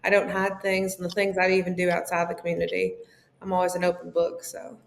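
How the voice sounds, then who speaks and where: A woman's voice: lowish voice, completely flat delivery, fairly quick, creaky, slight background noise. A woman from Tennessee with a Southern accent, a podcast guest chatting openly, laughing often and filling with ums. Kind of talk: casual interview chat. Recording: echoey room, faint ambience.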